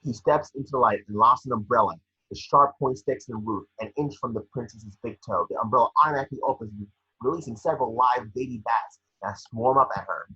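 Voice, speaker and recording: A man with a husky voice reading scene descriptions at a table read, sometimes stumbling over the script. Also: mid-range voice, quick pace, sharp tone, dull audio.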